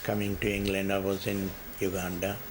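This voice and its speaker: A low, gravelly voice from an older man who was born in India. He answers hesitantly, with many pauses, fillers and repeated words. His voice stays flat and slow, with a faint hiss of noise behind it. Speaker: interviewee. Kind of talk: oral history interview.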